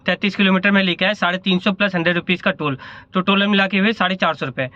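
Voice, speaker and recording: Chatty fast man, lo-fi. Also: high-pitched, fairly even intonation, pushed and bright. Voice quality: speaks of a sore throat. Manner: chatty, rambling with self-corrections. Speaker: ride-hailing driver vlogger. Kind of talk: casual vlog narration. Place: car and fuel station in a city.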